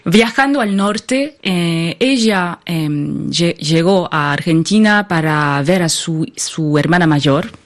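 A woman's voice: on the low side, animated, slow, hardly any pauses. A French woman speaking as an interview guest with a French accent, thoughtful and often searching for words. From France. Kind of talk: conversational interview.

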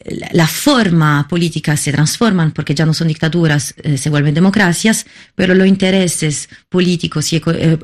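A woman from Italy, a filmmaker who is not a Spanish speaker, answering interview questions in a halting way, often searching for words and restarting. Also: lowish voice, a little mellow. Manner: halting, searching for words, many repeated starts. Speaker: documentary filmmaker, interview guest.

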